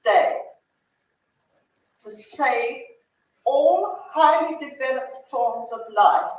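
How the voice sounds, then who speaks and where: High slow-speaking woman on old film. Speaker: vegan movement pioneer. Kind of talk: speech from an archive film clip. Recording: distant, echoey, dull old film audio with faint noise.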